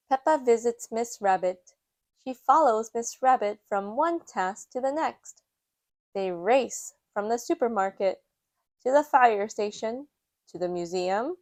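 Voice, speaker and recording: A woman reading a children's picture book aloud with a measured storytelling delivery. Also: fairly high pitch, animated, a little mellow, no echo, studio quality. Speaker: storytime reader.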